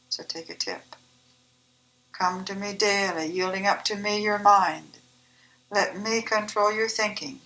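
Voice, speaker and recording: A woman reciting her own devotional poem in a measured, deliberate, reverent manner. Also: mid-range voice, sharp tone, poor audio. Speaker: author reading own poem.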